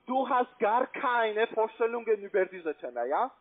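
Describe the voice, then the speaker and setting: A man with a foreign accent giving a pushy, repetitive phone sales pitch in halting non-native German. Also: animated. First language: not German. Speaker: call centre investment salesman. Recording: tinny band-limited phone line.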